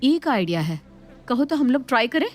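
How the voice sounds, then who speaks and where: A cheeky young Indian daughter-in-law in a cartoon moral tale. Her voice sits a little high and she explains with polite, mock innocence. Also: sing-song, a little fast, faint music behind. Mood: scheming. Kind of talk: Hindi animated moral story acting.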